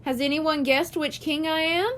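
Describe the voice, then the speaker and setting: A woman's voice: high-pitched, fairly even intonation, normal speed, voice pushed up, natural voice. A woman voicing a cheerful male first-grade teacher in a children's audiobook, playful and patient as the teacher explains things. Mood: teasing. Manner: explanatory, teasing. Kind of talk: children's audiobook character reading.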